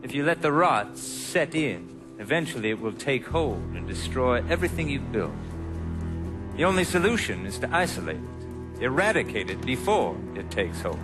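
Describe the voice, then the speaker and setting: An actor playing a cold, controlled middle-aged mob boss with measured, formal, deliberate speech. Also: male voice, mid-range voice, very animated, over music, natural voice. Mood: cold menace.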